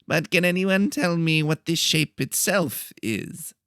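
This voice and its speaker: A man's voice: on the high side, expressive, takes it slowly, pushed and bright, no echo, clean studio sound, pitched above the performer's own voice, full character voice. A man voicing a small, wiry, pedantic professor with a nasal voice, lecturing in an audiobook. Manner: lecturing, questioning. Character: small wiry male professor, long-winded, pedantic, dry. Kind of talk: audiobook character voice.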